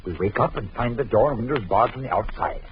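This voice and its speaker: An actor playing an anxious young man in a 1940s radio drama, urging calm while trapped. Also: medium pitch, fast talker, a little hiss or hum, natural voice. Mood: urgent. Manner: urgent, reassuring then alarmed. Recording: dull old radio recording.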